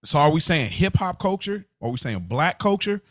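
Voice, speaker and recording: A man's voice: a little high, expressive, normal speed, dry recording, clean studio sound. An American man chatting as a podcast co-host, informal and slangy, thinking things through aloud. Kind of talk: casual conversational podcast chat.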